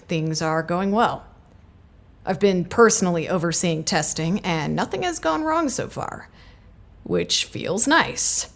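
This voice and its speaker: A woman's voice: lowish voice, heavily acted. A woman playing a wry, wary research supervisor in an audio drama, giving a dry, conversational log.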